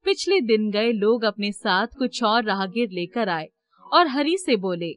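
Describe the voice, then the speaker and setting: A woman's voice: medium pitch, animated, unhurried. A woman reading scripted narration for a children's moral story.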